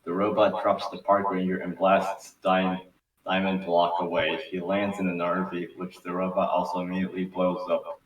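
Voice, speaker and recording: A man's voice: low-pitched, little pitch movement, normal speed, muffled recording. A man narrating a script at a casual table read, informal and sweary, stumbling over words. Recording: echoey room, distant mic, background noise.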